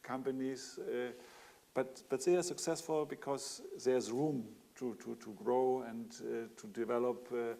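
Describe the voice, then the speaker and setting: Hesitant German-accented man, gravelly. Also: mid-range voice, fairly even intonation, a little slow, mellow. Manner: hesitant, many fillers and restarts. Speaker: panelist. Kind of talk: panel discussion.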